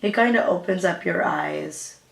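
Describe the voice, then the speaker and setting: A young woman with a raspy voice, chatting casually through a makeup tutorial as a new beauty vlogger. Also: fairly low pitch, a little slow, echoey room. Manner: chatty, hesitant, with fillers and apologies.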